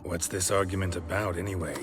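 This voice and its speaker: Man acting a deep-voiced, deadpan monster hunter. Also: fast, background noise, natural voice. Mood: dry, weary. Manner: blunt, laconic, deadpan. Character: gruff, unflappable monster-hunting man for hire. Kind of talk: video game dialogue acting.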